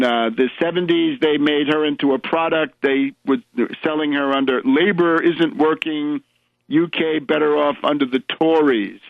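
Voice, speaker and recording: An American man hosting a radio show, delivering a polemical political monologue with emphatic, erudite, deliberate phrasing. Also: medium pitch, no room sound. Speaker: radio host and political commentator. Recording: narrow tinny phone-like radio audio.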